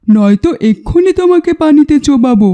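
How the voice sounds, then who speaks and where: Casting: female actor as bossy indignant cartoon youngster, high but mellow voice, scolding. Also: expressive, fairly quick. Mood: stern, indignant. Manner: scolding, commanding. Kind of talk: cartoon voice acting.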